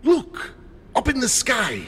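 A man's voice: very high-pitched, huge pitch swings, takes it slowly, a bit bright, in a large hall, a little hiss or hum, full character voice. A man playing an eager, theatrical, erudite scientist-adventurer in an old-time radio style adventure drama. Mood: astonished. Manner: dramatic, erudite, grandly enthusiastic.

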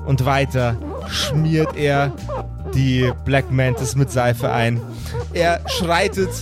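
A man narrating as game master in a dramatic improvised pen-and-paper story, with deliberate, drawn-out phrasing. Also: fairly high pitch.

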